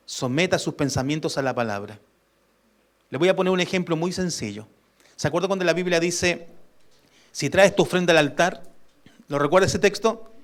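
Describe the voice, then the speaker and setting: Emphatic, higher-voiced male pastor preaching. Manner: emphatic preaching that asks the congregation for responses; stretches key words slowly for weight. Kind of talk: sermon.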